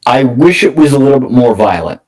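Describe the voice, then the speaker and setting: A man co-hosting a casual film discussion podcast, talking in a halting, enthusiastic fan's manner. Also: mid-range voice, expressive, darkish tone, slightly rough, distant mic, low-quality recording. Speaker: podcast co-host.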